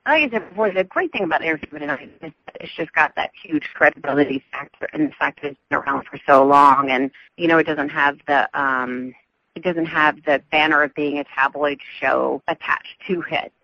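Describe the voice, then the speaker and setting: A woman's voice: sits low, fairly quick, bright, forceful tone, no echo. Woman, light Southern accent, chatty, upbeat, dry close sound, slightly dull radio audio. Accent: Southern US, softened. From American South. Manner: chatty, upbeat, laughs often, many fillers. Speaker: entertainment news reporter, guest. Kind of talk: radio interview.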